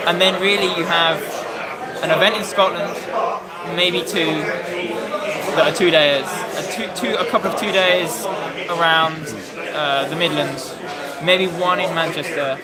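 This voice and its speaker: A talkative British man with a slightly husky, fairly high voice carries on loudly in casual chat. A heavy crowd murmurs all around in the big hall. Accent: British English. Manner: talkative, loud voice, many fillers. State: a bit husky. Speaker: interviewer, event organiser. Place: busy tournament hall. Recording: crowd noise in a large hall.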